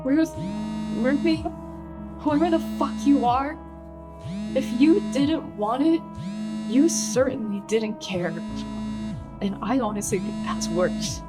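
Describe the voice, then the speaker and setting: A woman's voice: on the high side, slow pace, long pauses, over loud music. An actress playing a hurt, furious bride confronting her famous sister in a naturalistic radio play, scolding and pleading with emphatic profanity. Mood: bitter, resigned.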